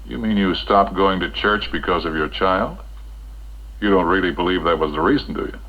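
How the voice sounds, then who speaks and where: An actor playing an earnest, kindly military chaplain in a 1960s educational film, speaking in a measured, gently probing way. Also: male voice, low-pitched. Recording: dull 1960s film soundtrack.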